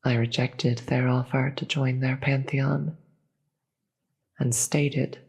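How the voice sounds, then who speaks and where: Slow, breathy demon queen, acted out. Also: medium pitch, flat delivery, a little mellow, exaggerated voice. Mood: scornful, resolute. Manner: deliberate, theatrical, grandiose speech with dramatic pauses. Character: proud, imperious demon queen, female, contemptuous of gods. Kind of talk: scripted fantasy audio roleplay monologue.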